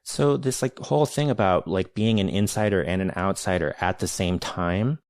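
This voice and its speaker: Deep-voiced man, measured and searching. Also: darkish tone, dry recording, clean studio sound. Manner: measured, searching, many restarts and hedges. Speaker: podcast host, interviewer. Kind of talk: thoughtful conversational interview.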